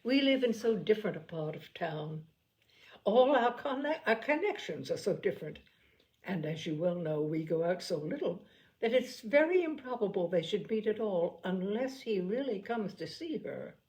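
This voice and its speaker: A woman reading as a calm, sensible aunt. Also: mid-range voice, normal speed, close to an everyday voice, low-quality recording. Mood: reasoning. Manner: calm and reasoning. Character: sensible kindly middle-aged aunt. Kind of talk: audiobook character voice.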